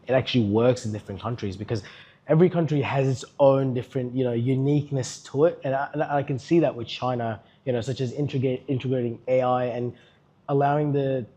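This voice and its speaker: A man's voice: mid-range voice, warm tone, slightly rough. A young Australian man answering interview questions with enthusiasm, stumbling now and then. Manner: enthusiastic, slightly halting. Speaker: student at an education camp.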